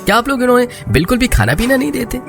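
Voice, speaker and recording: A man's voice: very high voice, sing-song, very fast, voice pushed up, heavily acted. A man voicing a stern, concerned doctor in a Hindi moral-story cartoon, over prominent music. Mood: concerned, reproachful.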